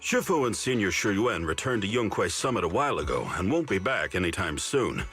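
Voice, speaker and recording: An actor playing a cunning, easygoing senior disciple in a video game, explaining things with confidence and expression. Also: male voice, lowish voice, big pitch swings, fairly quick, fairly bright tone, soft background music. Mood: easygoing, persuasive.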